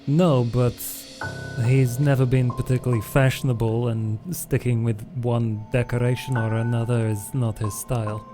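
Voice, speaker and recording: A man's voice: mid-range voice, flat delivery, moderate pace, natural voice. A man playing a dry, disdainful vampire in a tabletop game, speaking in an offhand way over music. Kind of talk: in-character tabletop roleplay.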